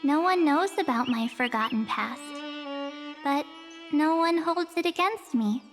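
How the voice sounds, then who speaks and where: A woman playing a cheerful, sentimental young girl in a video game story, speaking softly and earnestly. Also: sits high, expressive, measured pace. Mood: wistful, tender. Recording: music behind the voice.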